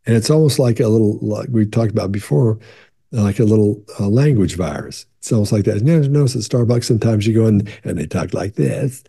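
Older man, sixties, gravelly and husky, dark low voice; casual webcam Q&A, chatty and reassuring. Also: animated, a little fast. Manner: conversational, repeats and restarts, leans into reassurance. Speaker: body language expert. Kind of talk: casual Q&A talk to camera. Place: home office, indoors.

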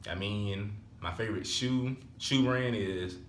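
A man's voice: on the low side, a little slow, fairly bright tone, reverberant, slight background noise. A man of twenty-one, chatting casually and rambling a little as a vlogger. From Alabama, US. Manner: laid-back, rambling, repeats "so therefore". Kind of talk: casual chat. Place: small room at home.

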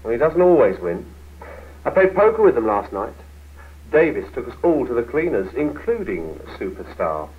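Actor as an easygoing British colleague, tinny film sound. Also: male voice, medium pitch, big pitch swings, normal speed, lightly acted. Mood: wry. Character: easygoing, unambitious male office colleague. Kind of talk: 1970s training film acting.

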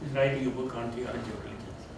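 A man's voice: medium pitch, very fast. Older Tamil-accented man lecturing in echoey room. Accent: Tamil-accented English. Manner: reflective, chuckling, gently self-deprecating. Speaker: retiring theology professor. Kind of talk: informal farewell lecture with Q&A. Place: seminar room, indoors, medium-sized. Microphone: far camera. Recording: echoey room from far off, background noise.

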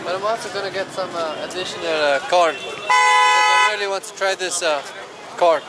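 A young man from Germany chatting to a handheld camera as a travel vlogger, hesitant with many pauses. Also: sits high, low-quality recording. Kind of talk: casual vlog narration to camera. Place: busy night street market outdoors. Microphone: action camera held at arm's length. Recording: loud crowd and traffic noise. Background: loud crowd and street traffic.

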